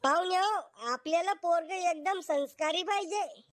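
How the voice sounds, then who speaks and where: A man's voice: very high voice, normal speed, background noise. A man voicing a formal, matter-of-fact father of a prospective bride in a comedy cartoon. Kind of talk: Marathi comedy cartoon acting.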